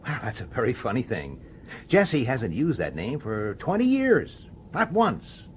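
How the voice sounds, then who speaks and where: Radio drama detective: determined, insistent and cornered, highly varied intonation, slight background noise. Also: male voice, wildly swooping pitch, measured pace. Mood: pressing, tense. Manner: insistent, accusatory, clipped sardonic questioning. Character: dogged, defiant male police detective, framed and determined.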